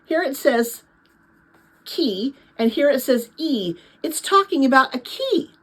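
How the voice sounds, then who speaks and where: Woman reading as a keen girl, lively high voice. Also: takes it slowly, exaggerated voice, rough recording. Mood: urgent. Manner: keen, urgent. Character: keen, practical young girl puzzling out clues.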